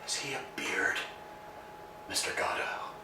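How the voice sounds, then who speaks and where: An actor playing an anxious, philosophizing tramp in a staged reading, swinging from hushed musing to emphatic outbursts. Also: male voice, unhurried, noisy background, close to an everyday voice. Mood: pressing. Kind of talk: amateur staged play reading. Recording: echoey room, distant mic.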